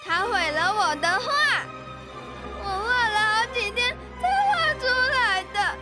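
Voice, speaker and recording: A woman's voice: very high-pitched, lively intonation, takes it slowly, over loud music, heavily acted. A girl acting an indignant, proud young big sister in a radio drama. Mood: outraged. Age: child.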